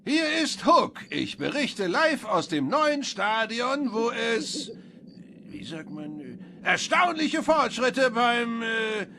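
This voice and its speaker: A man voicing a goofy, eager cartoon tow truck with a gravelly voice and a bumbling, exuberant manner. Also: extremely high pitch, very animated, slow, pushed and bright, slight background noise, exaggerated voice. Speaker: voice actor in German dub. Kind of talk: animated cartoon dialogue.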